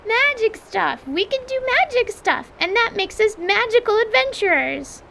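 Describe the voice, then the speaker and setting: A woman playing an excitable young apprentice magician girl full of wide-eyed wonder in a fantasy audio drama. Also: very high-pitched, moderate pace, vocal fry, slight background noise, heavily acted. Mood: excited, delighted. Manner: wide-eyed, gushing, exclaiming.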